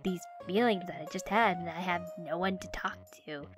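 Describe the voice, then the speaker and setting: A woman's voice: lowish voice, normal speed, over music, close to an everyday voice. A woman voicing a whiny, dim, emotional teenage boy who rambles over-dramatically in an amateur cartoon dub. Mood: rambling, emotional.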